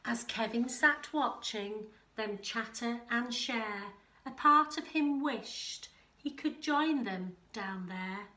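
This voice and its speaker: Middle-aged female storyteller, teacherly storytime read with little asides, unhurried, in a roomy living room. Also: fairly high pitch, pushed and bright. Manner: deliberate, rhythmic reading with chatty asides. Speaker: teacher reading a picture book aloud. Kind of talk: expressive storytime reading for young children.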